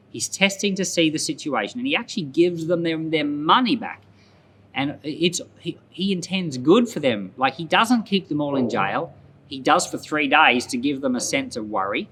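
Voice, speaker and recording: Man, medium pitch, pastor's informal Bible commentary, explanatory, repeats phrases for emphasis, slightly noisy recording. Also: a bit bright. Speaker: pastor and Bible podcast host.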